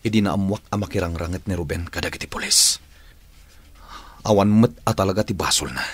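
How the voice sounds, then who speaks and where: An actor with a Filipino accent playing a brooding schemer in a radio drama soliloquy, with a gravelly, breathy voice. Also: male voice, low-pitched, brisk, dry recording. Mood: brooding, conspiring. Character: brooding male schemer wishing a man dead.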